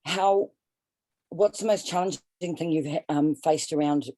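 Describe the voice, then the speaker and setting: Woman participant, very low voice, mellow tone, halting question, video call. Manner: halting, searching for words. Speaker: workshop participant. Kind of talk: asking a question.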